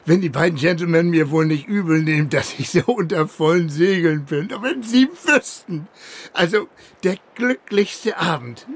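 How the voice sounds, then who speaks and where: Hearty, raspy seafaring man. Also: a little high, animated, moderate pace. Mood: jubilant. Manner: exuberant, tumbling, broken phrases. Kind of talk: radio drama acting.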